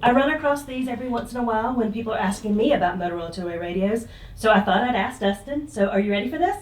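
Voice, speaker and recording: Woman off mic in an echoey room, higher voice, casually asking questions. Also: expressive, fast, far from the mic, a little hiss or hum. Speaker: interviewer behind the camera. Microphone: distant from speaker, behind camera. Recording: roomy, off-mic behind camera.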